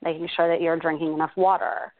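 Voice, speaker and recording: Female guest, 30s, conversational advice-giver, slightly low voice, narrow phone-quality sound. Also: flat delivery, fast. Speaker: guest giving advice on self-care. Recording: dull phone-like audio.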